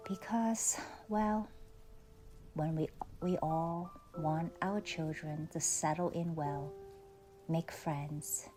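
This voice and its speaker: A woman leading a guided meditation in a soft, soothing, unhurried way with long pauses, often trailing off into breathiness. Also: deep voice, steady tone. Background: faint music.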